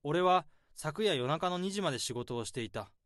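A man's voice: a little high, lively intonation, moderate pace, fairly bright tone, dry recording, clean studio sound. A man voicing a harried office worker who narrates in first person as he hides his boss's body, in a tense radio-drama monologue. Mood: grim brooding. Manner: tense inner monologue, rising to frantic self-pep talk. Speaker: narrator and protagonist.

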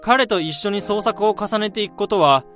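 A young man acting in a radio drama as a steady, caring friend, speaking in a measured, reflective way. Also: high-pitched. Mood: nostalgic. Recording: music and crowd sounds behind the voice. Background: music and crowd sounds.

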